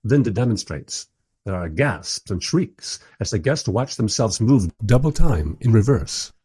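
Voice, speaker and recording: A man plays a wry observer narrating a radio play. His voice is husky and mid-pitched, moving in lively swoops through dry, literary storytelling. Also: a little slow, exaggerated voice. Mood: wry, bemused. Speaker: first-person narrator.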